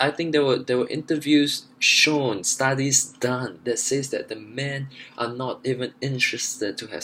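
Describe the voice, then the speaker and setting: A man's voice: medium pitch, fairly bright tone, a little hiss or hum, poor audio. A young man talking earnestly in a monologue, stressing his points by repeating phrases. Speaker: vlogger. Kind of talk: monologue to camera.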